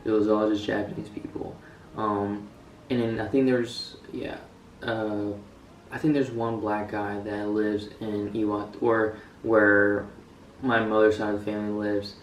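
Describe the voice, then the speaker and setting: A man's voice: lowish voice, little pitch movement, a little slow, slight background noise. Rambling young man, echoey room. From United States. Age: young adult. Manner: rambling, many fillers and restarts. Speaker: student vlogger. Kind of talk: casual vlog talk. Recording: echoey room, mic a bit far.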